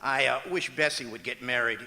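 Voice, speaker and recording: A man's voice: mid-range voice, fairly quick, noisy background, natural voice. A man playing a patient, wry straight-man husband in an old-time radio comedy, dry and explanatory. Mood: wry, deadpan. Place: large auditorium stage, live performance. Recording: echoey auditorium stage recording.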